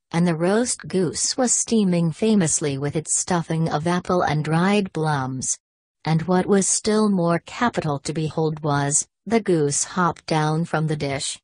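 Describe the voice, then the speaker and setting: A woman reading a scripted children's fairy tale as a storyteller, measured and expressive. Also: sits low, no echo.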